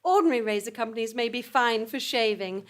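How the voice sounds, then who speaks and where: A woman's voice: a little high, lively intonation, fairly quick. A woman announcer delivering a scripted spoof advert in a polished sales manner.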